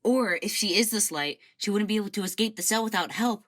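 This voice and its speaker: A woman's voice: mid-range voice, a little fast, a bit bright, close to an everyday voice. A woman playing an earnest, insistent crew member aboard a ship.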